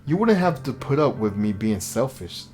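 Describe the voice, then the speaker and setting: A man voicing a fragile, lovesick, self-blaming teenage schoolgirl in a visual novel, halting and pleading, over music. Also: mid-range voice, very animated, a little mellow, lightly acted. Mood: anguished. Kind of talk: visual novel character reading.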